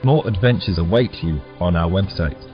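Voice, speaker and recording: A man's voice: sits low, moderate pace. A man delivering a scripted promotional intro as the announcer of an audio drama. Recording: slightly dull, music underneath. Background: faint music.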